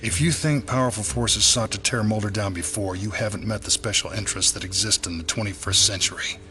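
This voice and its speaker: Stern, wary bureau chief, low and hard-edged, audio drama with faint music beneath. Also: male voice, fairly quick, sharp tone. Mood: wary, grave. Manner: grave, guarded. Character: wary, stern senior male FBI official.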